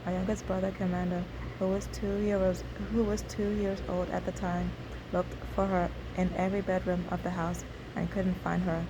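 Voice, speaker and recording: A woman whose native language is Igbo narrates a scripted first-person memoir, reading in a halting way with occasional slips. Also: on the low side, level tone, background noise. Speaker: memoir author reading own story.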